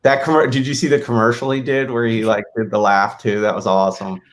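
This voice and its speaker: A man co-hosting a casual sports podcast, relaxed and rambling, full of "like" and "just". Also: on the low side, fast. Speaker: podcast co-host.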